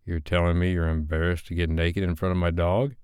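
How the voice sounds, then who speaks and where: A man playing a laid-back, reassuring photographer and lover in a romance audiobook, speaking in a calm, coaxing way. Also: sits low, a little fast, clear, resonant tone, dry recording, studio quality, natural voice. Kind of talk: romance audiobook character dialogue.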